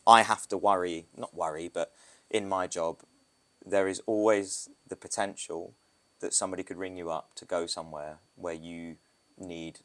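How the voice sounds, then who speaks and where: British man, very low voice, casual rambling podcast guest, photographer. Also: fairly even intonation, normal speed, a bit bright. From Britain. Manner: loose, rambling, with restarts and asides. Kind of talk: casual interview talk.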